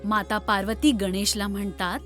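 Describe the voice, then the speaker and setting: A woman's voice: medium pitch, little pitch movement, normal speed, fairly bright tone, soft background music. A woman narrator reading a scripted story for children.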